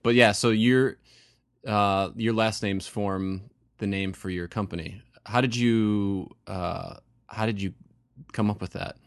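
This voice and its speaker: A male podcast host with a lowish voice, asking his guests questions in a relaxed, easygoing way. He pauses and restarts often, filling the gaps with small hesitations. The sound is dry and close, with no room around it. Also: dry recording. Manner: curious, halting, with many fillers. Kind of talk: casual interview.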